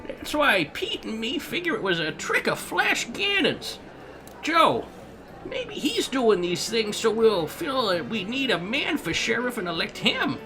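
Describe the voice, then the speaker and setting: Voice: medium pitch, huge pitch swings, unhurried, music in the background. An actor playing an earnest, reasonable frontier townsperson in a comic-book Western audio drama. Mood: earnest, reasoning.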